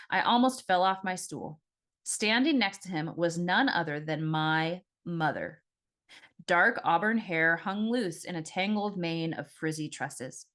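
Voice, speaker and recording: A woman reading her own fiction audiobook as an expressive first-person narrator. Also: lowish voice.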